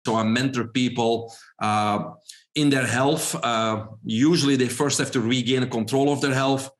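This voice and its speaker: Man, Belgian accent, forties, podcast guest, relaxed storyteller, fillers. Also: mid-range voice, unhurried. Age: 40s. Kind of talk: podcast interview.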